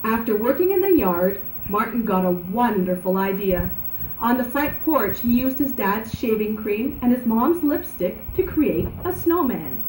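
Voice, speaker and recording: A woman narrating a children's story aloud in an animated, dramatic way, drawing out words for emphasis. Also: on the high side, unhurried. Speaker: storybook reader. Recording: roomy echo, background noise.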